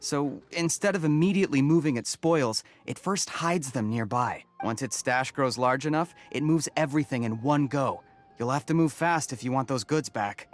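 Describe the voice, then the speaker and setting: A helpful villager in a game, voiced by a man, with a mid-pitched male voice. He explains things plainly and matter-of-factly, like someone giving directions to a traveller. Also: normal speed, natural voice. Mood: informative. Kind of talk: video game voice acting.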